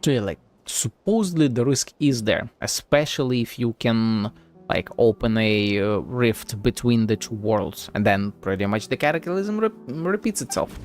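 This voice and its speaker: A man's voice: medium pitch, animated, moderate pace, a little mellow. A man streaming a game with casual live commentary, musing and rambling as he goes, swearing casually. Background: game music.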